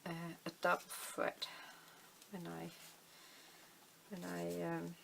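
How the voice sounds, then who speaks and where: Slow, gravelly Danish woman crafting. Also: lowish voice, completely flat delivery, a bit bright, slight background noise, rough recording. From Denmark. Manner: unhurried, thinking aloud with many fillers. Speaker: crafting channel host. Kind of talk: casual craft tutorial. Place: indoors at a table, hands on camera.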